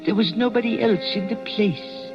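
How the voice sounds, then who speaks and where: An actor playing a nervous old night watchman in a 1940s radio drama, hushed and hesitant. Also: high-pitched, moderate pace. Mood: uneasy. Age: older. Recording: dull old 1940s radio broadcast with music.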